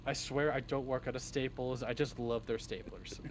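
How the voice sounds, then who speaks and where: A man's voice: medium pitch, fairly even intonation, moderate pace. A man speaking as a guest in a casual interview, with a laid-back, joking manner. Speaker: guest, hobby script writer. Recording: faint background noise on stream.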